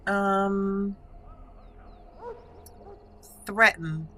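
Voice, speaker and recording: A woman's voice: medium pitch, fairly even intonation, extremely slow, a bit bright. A woman giving casual video game commentary as a let's play host, musing and talking through her choices. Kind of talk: casual gameplay commentary, thinking aloud.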